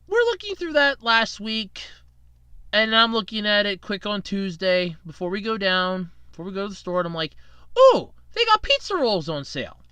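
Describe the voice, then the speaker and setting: A man's voice: high-pitched, pushed and bright, clean tone, no room sound. A young man hosting a casual solo podcast, rambling and jokey while fighting a cold and tired from night shifts. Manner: rambling, chatty, self-deprecating, jokey. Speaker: podcast host.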